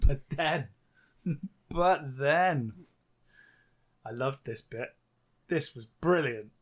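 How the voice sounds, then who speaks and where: A man's voice: mid-range voice, extremely slow. A man co-hosting a podcast, casually recapping a book and often repeating phrases for emphasis. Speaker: podcast co-host.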